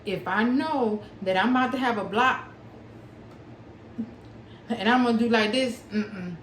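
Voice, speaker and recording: A young adult woman talking to her viewers, stuttering with long pauses and blocks and smacking her lips between words. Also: fairly high pitch, reverberant, a little hiss or hum. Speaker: small business owner and author. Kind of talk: personal talk to camera.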